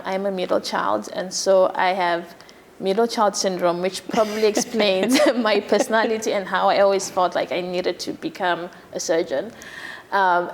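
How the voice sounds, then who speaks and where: A woman surgeon from Zimbabwe in her thirties, giving a TV interview in a soft, hesitant way with many fillers. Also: mid-range voice. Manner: soft-spoken, many fillers, laughs easily. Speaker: gynecologic surgeon, guest.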